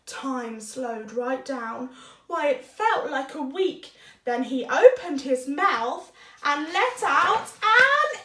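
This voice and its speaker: A roomy recording of a woman reading a children's story aloud, a little away from the microphone. Her voice is high and swings widely, with unhurried, deliberate phrasing and dramatic emphasis. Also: lively intonation, pushed and bright. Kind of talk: expressive children's story narration. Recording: roomy echo, distant microphone.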